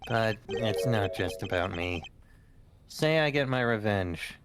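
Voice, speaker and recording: A man's voice: on the low side, animated, takes it slowly, heavy background noise. A man voicing a young man confronting his father's killer, in a casual, jokey way with crude ad-libbed gags, in a comedic fan dub of a video game. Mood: earnest. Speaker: fan dubber. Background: game music.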